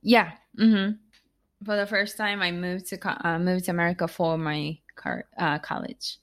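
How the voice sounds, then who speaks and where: A woman with a Japanese accent speaks in a casual interview, her voice sitting slightly low. She rambles a little, full of fillers and quick restarts, as if on a video call. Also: little pitch movement, fairly bright tone. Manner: chatty, with many fillers, restarts and laughs. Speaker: guest author and entrepreneur.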